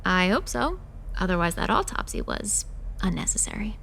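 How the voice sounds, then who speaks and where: A woman's voice: medium pitch, sing-song, moderate pace, creaky, fairly bright tone, slight background noise. An actress playing a dry, sarcastic young woman mortician who sees monsters, in a horror audio drama. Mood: guarded, deadpan. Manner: dry, sarcastic, blunt, swearing. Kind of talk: audio drama acting with confiding asides.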